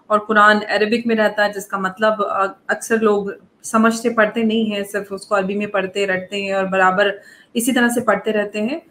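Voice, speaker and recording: A woman from India hosting an online interview, asking measured questions with hesitant pauses. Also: mid-range voice, a bit bright, a little hiss or hum. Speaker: interviewer host. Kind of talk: online video-call interview. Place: indoor room, video call. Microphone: video call.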